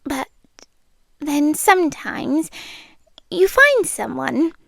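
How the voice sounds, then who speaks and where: A British woman playing a shy, anxious, lovestruck monster from under the bed in an intimate ASMR roleplay, speaking softly and awkwardly, often in a whisper. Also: high-pitched, big pitch swings, drawn-out words, creaky, no room sound, full character voice. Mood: wistful.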